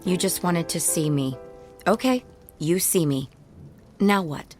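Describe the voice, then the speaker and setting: An actress playing a sharp-tongued, wounded ex-wife in a radio drama, guarded and full of biting, sarcastic retorts. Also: female voice, lowish voice, expressive, takes it slowly, soft background music. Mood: curt, guarded.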